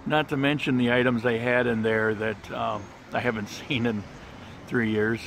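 A man talking through a casual walking travel vlog in a shopping mall, relaxed and chatty with plenty of fillers. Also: medium pitch, normal speed, creaky. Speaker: travel vlogger. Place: outdoor mall terrace and shopping center. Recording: handheld camera with street and mall noise.

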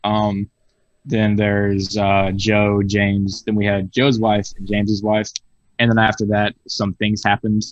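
A man's voice: on the low side, completely flat delivery, slow, no echo. A late-teen man, a podcast guest on a video call, talking casually with swearing and stumbling restarts. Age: teens. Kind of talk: casual chat. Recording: dull lo-fi video call audio.

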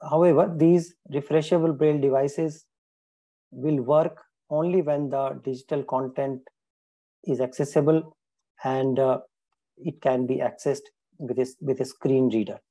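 Very mellow, dark-voiced man presenting. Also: mid-range voice, fairly even intonation, unhurried, rough recording. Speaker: webinar presenter. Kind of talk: explanatory presentation. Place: indoors, webinar call.

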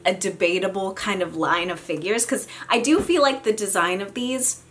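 A young woman with a raspy, sick voice chatting casually about her figure collection, with lots of ums and self-mocking asides, filmed at home at desk distance. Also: medium pitch, normal speed, fairly bright tone, slight background noise. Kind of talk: casual unboxing chat. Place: home room with figure shelves. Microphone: camera at desk distance.

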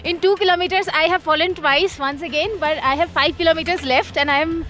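Fast-talking young woman vlogging outdoors. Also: extremely high pitch, steady tone, sharp tone. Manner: chatty, mixing English and Hindi, laughs often. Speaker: travel vlogger. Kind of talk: travel vlog talking to camera. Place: outdoors in snowy mountains. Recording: music playing underneath.

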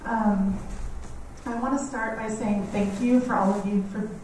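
A woman in her thirties with a quiet, slightly scratchy voice gives a friendly, chatty talk in a lecture hall, laughing often. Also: mid-range voice, fairly even intonation, unhurried. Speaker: guest speaker on native bees. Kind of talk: community lecture. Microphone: standing mic heard from a distance. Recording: echoey hall, mic far off, faint noise.

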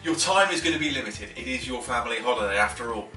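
A man, giving a chatty, enthusiastic fishing tackle tutorial at a table at home, with filler words and quick restarts. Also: medium pitch, lively intonation. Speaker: fishing instructor. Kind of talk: casual tackle tutorial to camera. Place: living room at a wooden table. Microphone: camera a few feet away. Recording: roomy, slightly distant, faint music.

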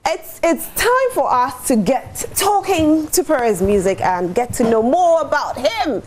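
A woman's voice: high voice, big pitch swings, a little slow, darkish tone, a little hiss or hum. A woman in her thirties with a Ghanaian accent, hosting a morning TV show, asking probing questions with playful teasing. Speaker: TV show host. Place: bright TV studio.

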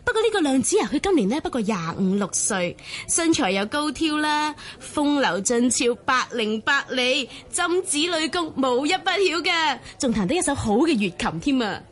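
A woman with a Hong Kong Cantonese accent playing a gossipy, flattering matchmaker in a radio drama, effusive as a saleswoman. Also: moderate pace, hardly any pauses. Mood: eager pitching. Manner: effusive, sales-pitch sing-song. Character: gossipy, wheedling female matchmaker, talkative flatterer.

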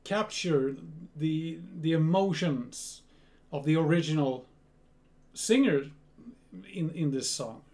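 A man's voice: on the high side, darkish tone. A man in his thirties from Sweden with a Swedish accent, chatting casually in a reaction video at home and often repeating words. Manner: slow, repeats words, restarts. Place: small home room full of gear.

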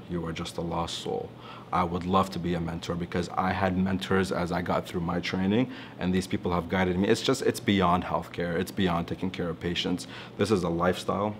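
Man, 30s, from Toronto; low, reflective, earnest; seated studio testimonial with slight background noise. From Toronto, Canada. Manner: earnest, reflective, gestures while talking. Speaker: alumnus physician. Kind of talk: interview testimonial. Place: studio with branded backdrop, indoors.

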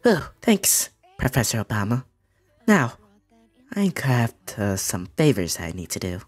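A man voicing a coy, flirty female cartoon bat in a husky, hesitant amateur comic voice-over. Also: medium pitch, very animated, unhurried, faint music behind.

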